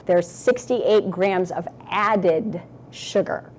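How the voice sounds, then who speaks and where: A woman's voice: on the low side, very slow, slight background noise. A woman speaking off the cuff in an interview as a nutrition expert. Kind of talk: unscripted interview answers.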